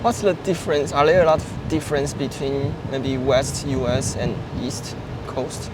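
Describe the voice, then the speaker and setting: A man's voice: medium pitch, steady tone, moderate pace. A man from Taiwan with a Taiwanese accent, a Mandarin speaker, eagerly asking a guest questions outdoors and reacting with wows. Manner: enthusiastic, reacts with wow and mm. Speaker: host, interviewer. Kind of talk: casual street interview. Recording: traffic noise behind.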